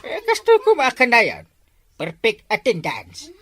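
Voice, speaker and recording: A woman's voice: fairly high pitch, huge pitch swings, a little slow, a bit bright, exaggerated voice. A woman playing a barangay captain in an Ilocano comedy skit, giving her delivery big exaggerated swoops. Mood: bossy. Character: bossy barangay captain called Kapitan.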